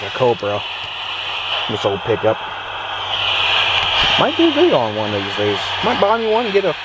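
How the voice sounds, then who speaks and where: An American man casually talking through a demonstration in an unhurried, rambling way, recorded on a handheld camera inside a pickup truck. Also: mid-range voice. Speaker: vlogger demonstrating an old CB radio. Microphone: handheld camera, speaker off screen. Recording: very noisy, radio static and vehicle ambience.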